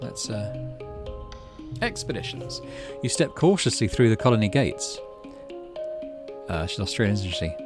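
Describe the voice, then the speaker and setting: A man hosting a gaming channel, reading a visual novel aloud and making relaxed, musing asides. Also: on the low side, a little slow, long pauses, over music.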